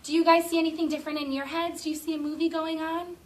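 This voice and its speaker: A woman teacher reading a picture book aloud to a class of children in an expressive, drawn-out storytelling way, stopping to ask eager questions. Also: very high-pitched. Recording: distant classroom camera with faint noise.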